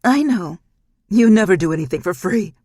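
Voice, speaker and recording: A woman's voice: medium pitch, lively intonation, a little slow, darkish tone, breathy edge, dry recording, clean studio sound, pitched above the performer's own voice. A woman voicing a bitter young witch speaking to her estranged mother. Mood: tense.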